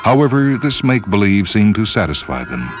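A man reading a story as a dramatic narrator, with measured, solemn and deliberate phrasing. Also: lowish voice, very animated. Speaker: narrator reading short fiction. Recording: music under the voice. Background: orchestral piano music.

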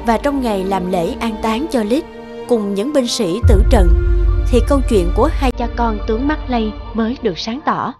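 Female story narrator, fairly high pitch, scripted delivery, light music underneath. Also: animated, normal speed.

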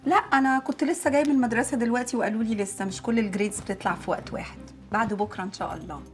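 A woman's voice: a little high, rapid-fire, faint music behind, lightly acted. An actress with an Egyptian accent playing a frazzled, sarcastic working mother in a TV comedy drama. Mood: guarded, sarcastic.

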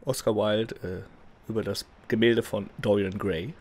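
A man's voice: fairly low pitch, steady tone, unhurried. A man in his thirties with a cold and a slightly nasal voice, chatting casually as he plays a game and reads its letters aloud from his home gaming room. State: has a cold. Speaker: let's play host. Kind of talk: casual gameplay commentary. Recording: voice over clear game music. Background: game music.

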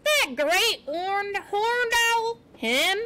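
A grouchy male porcupine from a children's storybook, acted out by a woman reading aloud. He is brash and blustering, with a high, sharp, bellowing delivery. His words come slowly and with heavy emphasis. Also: very animated, heavily acted. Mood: scornful, boastful.

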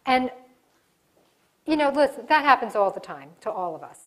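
A woman's voice: fairly high pitch, moderate pace. A middle-aged woman giving a live workshop in a conference room, talking with her hands and often restarting her sentences. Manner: animated, gestures a lot, restarts sentences. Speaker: diversity workshop presenter. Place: conference room in front of a projection screen.

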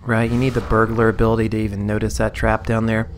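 Low-voiced man rambling over gameplay. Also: completely flat delivery, fast talker, faint music behind. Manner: rambling, self-amused, sorting inventory aloud. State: slightly hoarse. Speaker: let's play host. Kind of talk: casual gameplay commentary.

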